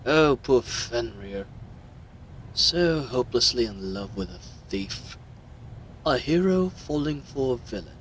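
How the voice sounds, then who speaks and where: A man's voice: medium pitch, expressive, slow pace, fairly bright tone, a little hiss or hum, low-quality recording. A man voicing a smug, mocking villain with theatrical, condescending delivery in an audio roleplay. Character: smug, mocking male villain crime broker.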